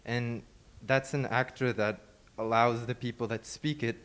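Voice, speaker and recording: A young man, a student guest on an academic panel, explaining his research in a measured, thoughtful way. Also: medium pitch, fairly even intonation, fairly bright tone, clean tone.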